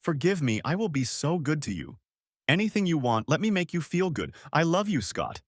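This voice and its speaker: A man's voice: mid-range voice, sing-song, fairly quick, fairly bright tone, no echo, clean studio sound, voice pushed up. A man voicing a distraught, overdosing young wife who pleads and sobs. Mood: pleading. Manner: sobbing, pleading, manic.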